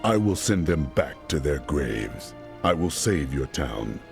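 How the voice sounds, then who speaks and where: Gravelly, grim barbarian warrior. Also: male voice, sing-song, faint music behind, exaggerated voice. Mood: stern. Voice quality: deep gravelly voice. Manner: slow, solemn, heavy delivery. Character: grim male barbarian warrior, outcast, stern. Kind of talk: video game cutscene voice acting.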